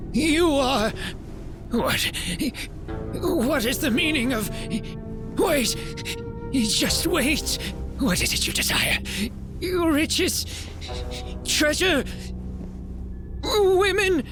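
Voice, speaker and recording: A man's voice: extremely high pitch, very animated, extremely slow, pauses often, over music, full character voice. A man playing a corrupt eunuch villain in a video game, imperious yet cowardly, with an oily, theatrical delivery. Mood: panicked bargaining. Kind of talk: video game cutscene acting.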